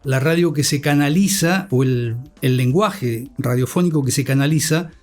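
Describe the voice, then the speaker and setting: A middle-aged man with an Argentine accent, giving reflective, measured answers as an academic guest, in formal vocabulary. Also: medium pitch, darkish tone. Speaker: interview guest, communication academic and scriptwriter. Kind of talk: reflective interview talk. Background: music bed.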